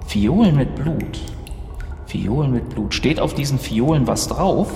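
A man's voice: mid-range voice, expressive, a little slow, gravelly, dark tone, soft background music. A man speaking casually as a player in a tabletop role-playing game, improvising and describing his moves. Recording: echoey reverberant room with audible noise.

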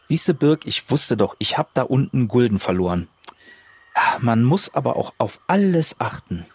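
A man's voice: medium pitch, sing-song, normal speed, darkish tone. A man improvising casual in-character dialogue as a player in a role-play adventure. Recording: roomy, reverberant, faint background noise.

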